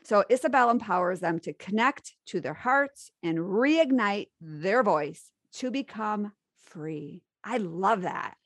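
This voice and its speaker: A woman's voice: mid-range voice, lively intonation, takes it slowly, hardly any pauses, no echo, studio quality. A woman hosting a podcast interview, upbeat and friendly in casual chat. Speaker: podcast host.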